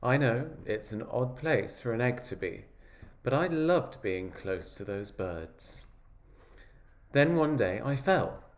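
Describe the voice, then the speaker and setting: A man voicing an earnest, wistful egg who tells his own story in a measured, reflective picture-book narration. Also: medium pitch. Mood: matter-of-fact.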